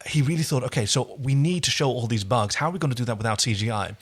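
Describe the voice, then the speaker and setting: A man's voice: medium pitch, animated, fast, breathy edge. A man co-hosting a podcast, chatting casually and enthusiastically about a TV episode, with wry asides. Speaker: podcast co-host. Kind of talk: casual chat recapping a TV episode.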